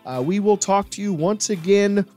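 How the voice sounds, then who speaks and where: A man's voice: high-pitched, moderate pace, dark tone, faint music behind. A man hosting a sports podcast in a casual, jokey style, playful and given to hyperbole.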